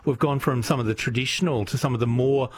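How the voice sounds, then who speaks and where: A man's voice: medium pitch, quick pace, husky. An Australian man hosting a casual radio chat, upbeat and chatty, laughing easily. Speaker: radio host.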